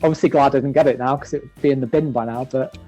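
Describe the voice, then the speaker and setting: A man's voice: mid-range voice, steady tone, rapid-fire, dark tone, soft background music. A British man chatting casually as an interview guest, thinking aloud and qualifying what he says as he goes. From Manchester, UK. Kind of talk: casual chat.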